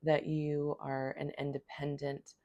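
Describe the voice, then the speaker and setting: Hoarse low-voiced woman reading tarot. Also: monotone, a little slow, dark tone, lo-fi sound. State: hoarse from a cold. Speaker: tarot reader.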